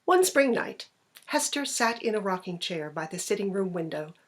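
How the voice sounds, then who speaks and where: A woman's voice: medium pitch, very animated, normal speed, a little hiss or hum. A woman reading a classic short story aloud as scripted narration.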